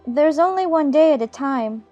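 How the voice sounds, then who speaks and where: A woman's voice: high voice, expressive, normal speed, warm tone, lo-fi sound, faint music behind. A woman playing an earnest, polite young girl in a dramatized storybook, eager and decided as she reasons things out. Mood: puzzled. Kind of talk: dramatized audiobook acting.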